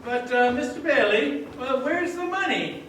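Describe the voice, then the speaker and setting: A man's voice: very high-pitched, lively intonation, a little slow, a bit bright, full character voice. A man playing a polite, fussy bank teller in an old-time radio play on stage. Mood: polite, puzzled. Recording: distant echoey stage recording, faint noise.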